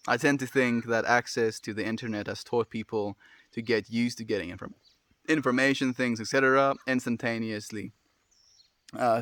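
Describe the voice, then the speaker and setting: A young man with a South African accent talks warmly to his livestream chat, gentle and affectionate, often adding "my friend". His voice sits at an ordinary middle pitch. The sound is dry and close, with no room echo. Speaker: livestream host reading chat.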